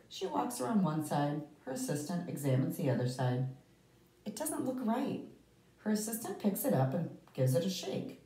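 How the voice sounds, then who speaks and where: A woman reading a picture book aloud to children, with deliberate, emphatic phrasing and dramatic pauses. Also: fairly low pitch, mellow. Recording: roomy, echoey, distant microphone.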